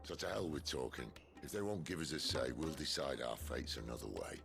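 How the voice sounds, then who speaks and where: An actor playing a wry, defiant middle-aged outlaw leader in a video game, with a gravelly, theatrical drawl. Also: male voice, extremely low pitch, animated, brisk, full character voice. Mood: bitter, resolute. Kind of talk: video game cutscene acting. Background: music.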